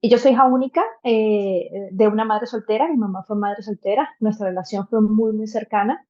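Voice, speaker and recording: A woman's voice: medium pitch, fairly even intonation, normal speed, highs cut off. A Venezuelan woman in her thirties, a podcast guest telling a personal story earnestly, with many fillers and restarts. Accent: Venezuelan Spanish.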